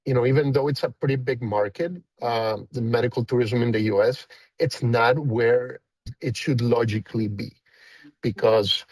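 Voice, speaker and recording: A man's voice: medium pitch, steady tone, moderate pace, a little mellow, dry recording, poor audio. A man in his fifties from Costa Rica with a thick Costa Rican Spanish accent, a guest chatting casually and rambling with many fillers. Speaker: guest, company founder. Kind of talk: casual interview chat.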